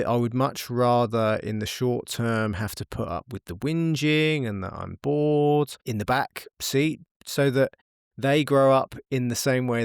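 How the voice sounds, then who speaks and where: A man's voice: mid-range voice, clean tone, no room sound, studio quality. Frank British man, casual guest. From United Kingdom. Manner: frank, repeats words while thinking. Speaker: podcast guest. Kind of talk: casual interview chat.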